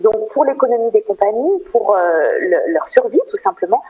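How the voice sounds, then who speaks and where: A woman answering radio interview questions in an explanatory way, often pausing as she searches for words. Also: a little high, lively intonation, slightly rough, muffled recording. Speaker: theatre programme manager, interview guest.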